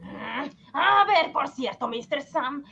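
A woman's voice: sits high, big pitch swings, slow pace, creaky, sharp tone, lo-fi sound, exaggerated voice. A woman playing a skeptical government minister in a satirical radio drama, asking pointed questions. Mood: probing. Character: skeptical, probing government minister of a poor country.

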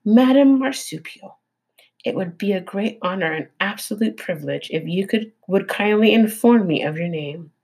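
A woman voicing a polite female robot who speaks in a stiff, overly formal and precise way, with a husky voice. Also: medium pitch, lively intonation, normal speed, darkish tone.

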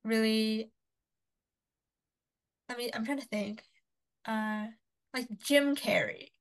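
Chatty young woman, higher voice. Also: fairly even intonation, slow pace. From Canada. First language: Cantonese. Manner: chatty, upbeat, lots of fillers. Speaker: podcast co-host. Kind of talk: casual chat.

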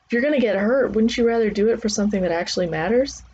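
A woman voicing a sly, blunt older male officer, a gruffly teasing mentor in an audiobook. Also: mid-range voice, quick pace, darkish tone, dull audio, a little hiss or hum, pitched above the performer's own voice, close to an everyday voice. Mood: persuasive. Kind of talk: acted audiobook dialogue.

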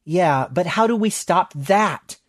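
A man's voice: high voice, expressive, slow, dry recording, clean studio sound, voice pushed up, full character voice. A man playing a reckless, eager young woman.

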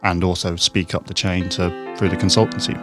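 A man hosting a podcast, asking long, thoughtful questions in a measured, meandering way. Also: low-pitched, flat delivery, loud music behind the voice. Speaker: podcast host. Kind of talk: podcast interview.

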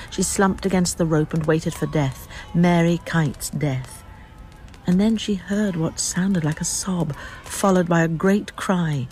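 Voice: mid-range voice, a little mellow, slight background noise, poor audio. A narrator telling a radio drama in scripted, dramatic storytelling style.

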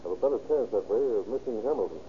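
A male actor as a tough deputy sheriff in a vintage radio crime play. His voice is on the low side, terse and decisive, under clear hiss on a muffled recording. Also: animated, very fast, natural voice. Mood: tense. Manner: terse, take-charge. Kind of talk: 1930s radio crime drama acting.

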